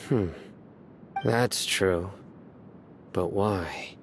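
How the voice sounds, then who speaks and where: Low-voiced, stern, deadpan general. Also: male voice, drawn-out words, faint music behind, heavily acted. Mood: stern, guarded. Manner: terse, formal, deadpan. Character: stern, principled male desert law enforcement general. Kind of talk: anime game voice acting.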